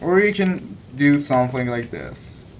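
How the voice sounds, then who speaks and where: A man's voice: medium pitch, big pitch swings, a little slow. A man giving casual, step-by-step commentary, explaining as he goes. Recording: faint background noise.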